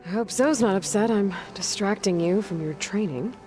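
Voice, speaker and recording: A woman's voice: medium pitch, moderate pace, a little mellow, soft background music, close to an everyday voice. A woman playing a young, determined outcast hunter in a video game, speaking plainly with terse, dry humor. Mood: matter-of-fact. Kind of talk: naturalistic video game acting.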